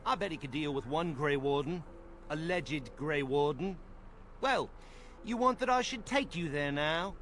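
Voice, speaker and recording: A man's voice: a little high, animated, measured pace, fairly bright tone, slight background noise. An actor playing a snide young male guard in a video game, sarcastic and dismissive. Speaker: game character.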